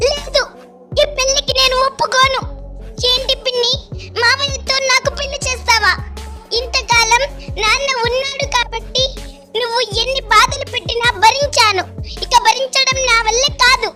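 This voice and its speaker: A woman voicing a mistreated young stepdaughter in an animated children's story, emotional and protesting. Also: extremely high pitch, moderate pace, music in the background. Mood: defiant, upset. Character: mistreated young stepdaughter, defiant yet pleading.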